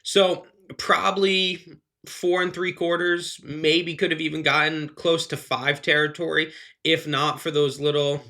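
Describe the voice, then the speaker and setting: A man's voice: on the high side, level tone, unhurried, pushed and bright. A man reviewing in a casual, analytical way, his voice a little phlegmy from allergies. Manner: analytical, earnest, talks in loose run-on sentences. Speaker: wrestling reviewer, show host.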